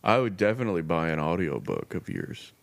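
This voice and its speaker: A man's voice: sits low, brisk, gravelly. A man with a nasal voice hosting a casual podcast chat, joking and pushing his friends along. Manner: animated, joking, pushy. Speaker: podcast host. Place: home garage.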